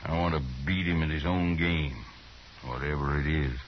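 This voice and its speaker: An actor playing a proud, stubborn greenhorn cattle rancher from Alabama, blunt and brooding, in 1950s radio Western style. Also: male voice, rumbling low, moderate pace. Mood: bitter, determined. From American. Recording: muffled, hissy 1950s radio recording.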